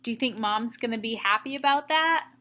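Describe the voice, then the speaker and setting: A woman teacher reading a story aloud to young children, with expressive emphasis and explanatory asides. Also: on the high side, brisk, a little hiss or hum.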